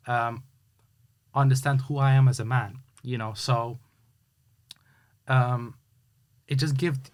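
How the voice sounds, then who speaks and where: A man talks through his story as an interview guest. His voice sits at an ordinary middle pitch. He speaks earnestly and halts often, with plenty of fillers and restarts. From Hungary. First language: Hungarian. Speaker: guest, youth sports coach. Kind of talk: casual interview chat.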